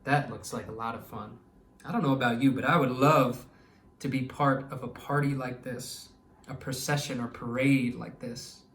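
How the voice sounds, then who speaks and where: A man reading a children's story aloud expressively and pausing to explain things to young listeners. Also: medium pitch, steady tone, a bit bright. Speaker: children's storytime reader and educator. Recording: echoey room, distant microphone.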